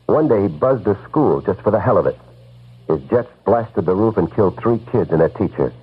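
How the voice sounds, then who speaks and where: An actor playing a tough, loyal investigator in an old-time radio drama, blunt and slangy. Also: male voice, fairly low pitch, expressive, brisk, husky. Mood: grim, matter-of-fact. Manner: blunt, slangy, world-weary. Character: tough, loyal male hard-boiled investigator. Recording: muffled old radio recording, faint music.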